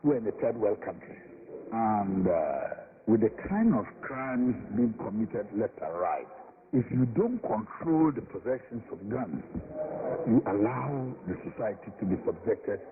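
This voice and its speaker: A man's voice: on the low side, sing-song, measured pace. A man speaking earnestly as a lawmaker, making an argument. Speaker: member of parliament. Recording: tinny radio with faint hiss.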